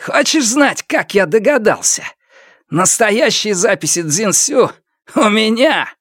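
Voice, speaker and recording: A man voicing a frantic, wounded villain in an audiobook, hoarse and breathless, with a taunting, raised voice. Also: very high voice, very animated, a little slow, dry recording, studio quality, pitched above the performer's own voice, exaggerated voice. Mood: gloating. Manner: shouting and taunting. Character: frantic wounded male villain, gloating and enraged.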